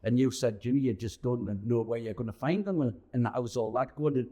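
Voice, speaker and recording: Man, soft dark tone, mid pitch, local interview host. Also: fairly quick. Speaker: host interviewer for a town TV channel. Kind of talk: on-location interview.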